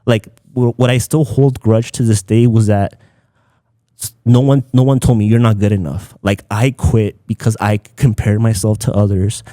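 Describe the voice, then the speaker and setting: Man, Spanish speaker, relaxed dark tone, dry close sound, casual podcast storytelling. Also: fairly low pitch, moderate pace, dry recording. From Southern California, United States. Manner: informal, peppered with "like" and Spanish phrases. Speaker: podcast guest, content creator.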